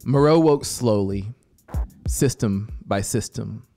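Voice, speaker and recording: A man narrates an audiobook in a low register with a gravelly, creaking edge. He takes his time, pausing for drama in a deliberate storytelling style. The voice is close on a studio mic, with soft music behind it. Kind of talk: scripted story narration over soundscape. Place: small home music studio, indoors. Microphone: close studio microphone with headphones. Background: atmospheric synth music.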